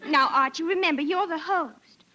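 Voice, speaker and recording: A woman's voice: extremely high pitch, moderate pace, pushed and bright. A woman playing a coquettish, syrupy teenage girl with a Southern accent and gushing drawl in a 1940s radio sitcom, on an old recording. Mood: coy. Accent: American South.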